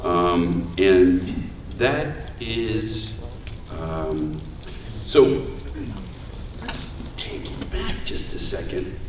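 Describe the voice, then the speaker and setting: Low-voiced guy chatting casually at a con panel, big roomy hall sound, kinda far off mic. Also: very animated, very slow, long pauses, a crowd in the background. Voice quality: deep voice. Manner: casual, joking, conversational. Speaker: voice actor giving a panel. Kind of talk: convention panel talk. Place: large convention hall room.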